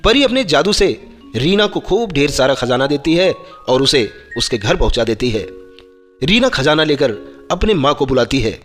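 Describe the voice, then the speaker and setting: Reverberant male storyteller, slightly high voice. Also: sing-song, normal speed. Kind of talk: scripted narration of a children's moral story. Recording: long reverb, music bed.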